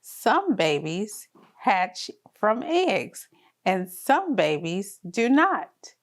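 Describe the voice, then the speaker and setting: A woman's voice: medium pitch, very animated, drawn-out words. A woman reading a picture book aloud to very young children, in a sing-song, encouraging, child-directed way. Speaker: children's story reader. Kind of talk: read-aloud picture book narration for toddlers.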